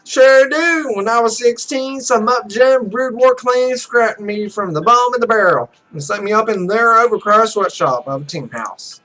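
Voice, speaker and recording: High, animated young pro gamer, acted by a man. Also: normal speed, few pauses, faint music behind, exaggerated voice, poor audio. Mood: wry. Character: joking, cocky young male esports pro.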